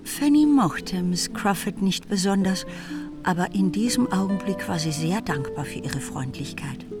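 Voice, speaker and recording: A woman's voice: lowish voice, lively intonation, unhurried, over music. A woman narrating a literary radio drama in scripted storytelling style.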